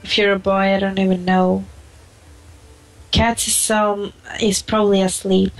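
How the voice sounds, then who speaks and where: A woman's voice: mid-range voice, little pitch movement, measured pace, soft background music, low-quality recording. Tipsy woman teasing her gaming friends. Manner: teasing, playful. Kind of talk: casual gaming chat.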